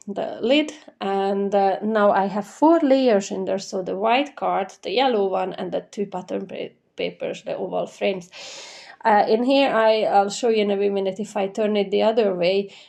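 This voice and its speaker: Mellow woman with a stuffy nose. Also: mid-range voice, vocal fry. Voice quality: stuffy nose, slightly nasal. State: congested with a cold. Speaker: craft tutorial presenter. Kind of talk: informal step-by-step craft tutorial voice-over.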